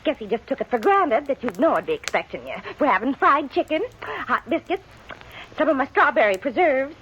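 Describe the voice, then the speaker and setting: A proud, pushy small-town wife in a very high voice that swoops up and down. She is folksy and pointed, in vintage radio western style on a dull, lo-fi recording. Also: big pitch swings, a little fast, fairly bright tone, slight background noise. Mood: gushing, coaxing. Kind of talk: 1950s radio western acting.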